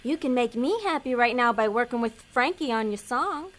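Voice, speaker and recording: A woman playing a scheming, bossy female agent of Hell in a comedic audio drama, brisk, persuasive and easily exasperated. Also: on the high side, animated, fairly bright tone. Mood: coaxing, firm.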